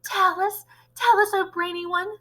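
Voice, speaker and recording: A woman voicing eager, fawning witch followers in a children's storybook, crying out with adoring excitement. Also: very high-pitched, unhurried, pitched above the performer's own voice, heavily acted.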